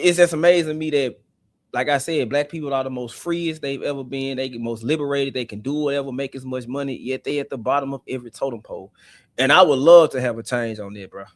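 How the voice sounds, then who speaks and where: A young man talks in an animated, outspoken way as a guest on a live-stream panel. His voice sits at an ordinary pitch and sounds dry and close, with no room echo. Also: a little fast. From South Memphis, USA. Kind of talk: casual live-stream debate.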